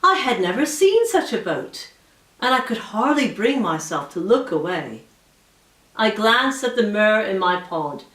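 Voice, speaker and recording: A woman reading first-person fiction aloud in a measured, dramatic storytelling manner. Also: mid-range voice. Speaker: audiobook reader, author. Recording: roomy echo, distant from mic.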